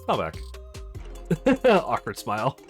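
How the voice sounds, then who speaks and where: A man's voice: mid-range voice, wildly swooping pitch, unhurried, faint music behind. A man hosting a let's play, reading visual novel dialogue aloud, acting out lines and adding casual commentary. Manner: acts out characters' lines with exclamations.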